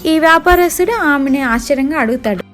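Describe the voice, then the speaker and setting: A woman telling a bedtime story to children in an animated way, addressing them directly and mixing in English words. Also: high voice, brisk. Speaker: storyteller and channel host. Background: faint music.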